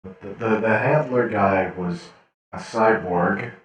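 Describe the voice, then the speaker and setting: A man's voice: on the low side, slow. A man improvising a prisoner's part in game roleplay, speaking in a hesitant, laid-back way over echoey voice chat. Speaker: roleplayer acting as a cyborg prisoner.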